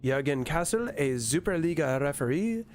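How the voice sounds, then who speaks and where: Male game streamer, slightly high voice, wry and a little sweary, casual read-aloud commentary, some noise behind. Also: expressive, moderate pace, darkish tone. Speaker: streamer playing a horror game.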